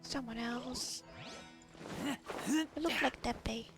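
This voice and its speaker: A woman's voice: fairly high pitch, slow pace, music in the background. A woman playing a video game, chatting casually, muttering to herself and reading text aloud. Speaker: gamer recording a playthrough. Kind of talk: casual gameplay chat.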